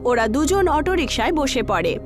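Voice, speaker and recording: A woman narrates a scripted horror tale in a high-pitched voice. Her delivery swings widely up and down, with music playing behind her. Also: big pitch swings, normal speed.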